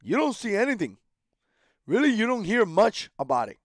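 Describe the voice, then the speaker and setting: A man's voice: high-pitched, big pitch swings, normal speed, no room sound, clean studio sound. A man in his thirties, born in Cuba, hosting his own channel and speaking into a headset mic with indignant, emphatic commentary full of repeated phrases and restarts. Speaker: channel host. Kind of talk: opinion commentary to camera. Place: small home studio room.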